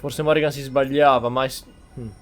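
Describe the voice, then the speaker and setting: A man's voice: medium pitch, steady tone, fast, soft background music. A man giving casual gameplay commentary as a game streamer.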